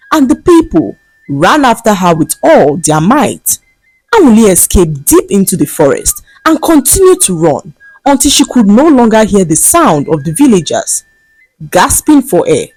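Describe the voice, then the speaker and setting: A woman narrating a scripted folktale as a storyteller, in a dramatic, expressive manner. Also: fairly low pitch, dry recording, poor audio. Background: faint background music.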